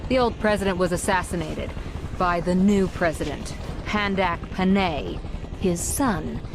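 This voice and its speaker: A woman playing a brisk, no-nonsense American intelligence agent in a video game cutscene. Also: on the low side, background noise. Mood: businesslike briefing.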